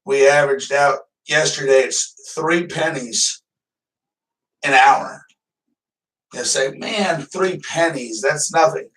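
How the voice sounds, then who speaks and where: A man's voice: fairly high pitch, takes it slowly, fairly bright tone, recorded from a distance, poor audio. A man hosting a live stream, chatting casually and rambling loosely, swearing now and then. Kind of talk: casual livestream chat.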